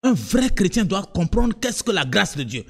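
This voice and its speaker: A man preaching a sermon in French, which is not his first language, moving from low, direct asides to high, sung-out cries of emphasis. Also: sing-song, normal speed, vocal fry. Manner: repeats words and restarts, talks to the listener directly. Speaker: apostle preaching.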